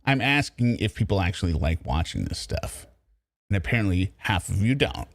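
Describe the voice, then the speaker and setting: Dude doing true crime commentary, sarcastic, snaps back at the clip, dry close sound. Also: male voice, deep voice, big pitch swings, normal speed, gravelly, dry recording. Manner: sarcastic, talks back at the recording. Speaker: commentary host.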